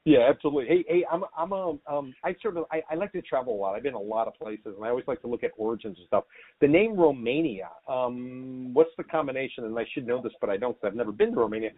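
A man hosting a real estate podcast, talking briskly and upbeat as he interviews a guest and reads promos. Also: medium pitch, lively intonation, narrow, tinny audio. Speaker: podcast host.

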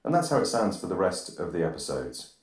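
A man hosting an absurdist comedy podcast, rambling deadpan monologue recorded on a phone. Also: low voice, brisk. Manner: deadpan, rambling, drops articles. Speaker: podcast host. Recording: phone recording with reverb plugin, bathroom echo.

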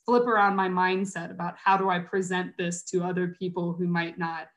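A woman's voice: on the low side, level tone, normal speed, fairly bright tone. An American woman answering interview questions in an earnest, chatty, conversational way, thinking aloud as she goes. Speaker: interview guest, nonprofit coordinator and mentor.

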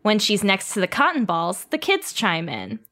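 A woman's voice: mid-range voice, normal speed. A woman reading stage directions aloud at a comedy table read, adding playful asides. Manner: lively, reads with playful asides.

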